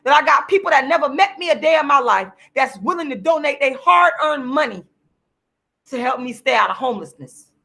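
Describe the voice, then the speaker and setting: A hoarse woman in her forties rants at the camera, her high voice thick with gravel and fry. Her tone is bright and sharp, and she hammers the same points again and again, climbing into shouts. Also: animated, fairly quick. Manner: heated, repetitive, preachy, now and then shouting. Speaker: vlogger and activist. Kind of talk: impassioned direct-to-camera rant. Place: seated at home signing books.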